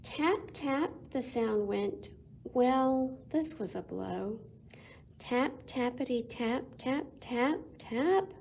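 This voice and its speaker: A woman reading a picture book aloud to children as a storytime reader, with expressive delivery and dramatic pauses. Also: a little high, creaky, a little hiss or hum.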